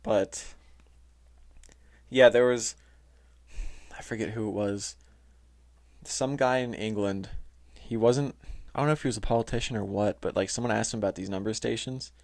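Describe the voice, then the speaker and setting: Low-voiced man, casual and halting. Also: darkish tone. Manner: informal, halting, heavy use of "like". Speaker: podcast host. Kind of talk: casual explainer talk reading from notes. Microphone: close, touchy microphone.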